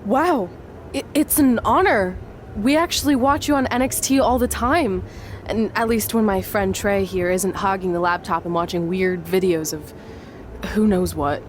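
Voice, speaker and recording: A woman's voice: medium pitch, normal speed, slight background noise, lightly acted. A woman playing a hotheaded young rookie wrestler in a video game cutscene. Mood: friendly, earnest. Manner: intense, menacing when angered.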